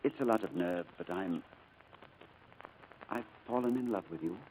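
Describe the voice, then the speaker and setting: An actor playing a gentle, fragile man in a 1940s radio drama, diffident and hesitant, often halting in his speech. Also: low-pitched, natural voice, low-quality recording. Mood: earnest, tender. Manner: hesitant, halting, soft-spoken. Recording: old radio broadcast with faint noise.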